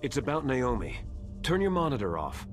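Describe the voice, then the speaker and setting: A man's voice: medium pitch, big pitch swings, soft background music, close to an everyday voice. Stern, authoritative military mentor played by a man. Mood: secretive, grave. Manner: deliberate, authoritative. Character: stern older male military mentor, wary and accusing. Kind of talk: dramatic video game voice acting.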